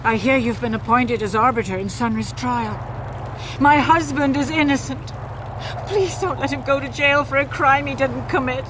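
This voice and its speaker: Actress as a high-voiced, pleading loyal wife. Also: female voice, normal speed, noisy background, natural voice. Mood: pleading, insistent. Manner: pleading, insistent. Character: earnest, pleading, loyal wife of accused war hero. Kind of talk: video game dialogue. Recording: loud music under the voice.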